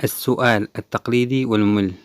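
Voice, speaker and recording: A man teaching a language lesson, repeating each example phrase twice slowly and explaining quickly in between. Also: mid-range voice, fairly even intonation, dry recording, studio quality. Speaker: English teacher.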